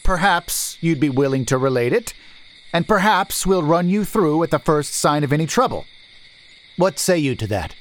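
A man's voice: a little high, animated, moderate pace, pitched above the performer's own voice, close to an everyday voice. A man voicing a brusque, suspicious merchant. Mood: skeptical. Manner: brusque, demanding, skeptical. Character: suspicious gruff male merchant leading a wagon.

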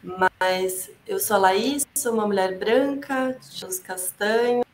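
A woman guest expert introducing herself over a video call with a shaky connection, casual and a little hurried. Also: medium pitch, roomy sound, slight background noise, poor audio. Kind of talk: online panel introduction. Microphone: video call with a bad connection.

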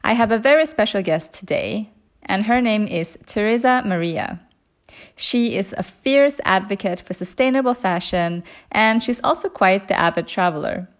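Woman podcast host, soft-spoken, hesitant, casual interviewer. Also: medium pitch.

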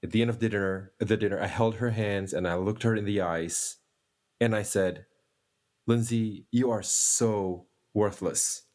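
A man's voice: lowish voice, steady tone, a little slow, darkish tone. A man from Indonesia whose first language is Indonesian, a podcast guest telling a story in a chatty, laughing way. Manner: laughing, chatty, restarts often. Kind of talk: casual storytelling.

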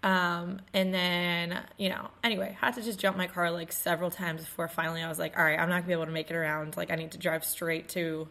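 A woman in her twenties co-hosting a podcast in casual chat, her voice still a little raspy after losing it, telling stories with laughs. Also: on the low side, little pitch movement, quick pace, hardly any pauses, fairly bright tone. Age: 20s. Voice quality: slightly raspy. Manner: chatty, laughing storyteller. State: recovering from lost voice. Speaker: podcast co-host.

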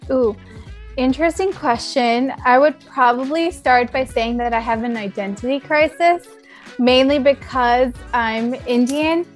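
A young woman from Thailand talks as a podcast guest, chatty and quick to joke at her own expense. Her voice sits fairly high. Faint music plays underneath. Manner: chatty, self-deprecating humor. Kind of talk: casual podcast conversation.

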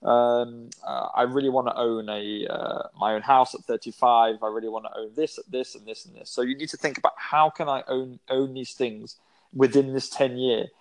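A man's voice: medium pitch, steady tone, moderate pace, rough recording. A man in his twenties, a guest in a casual interview, talking in a rambling way with many fillers and restarts. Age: 20s.

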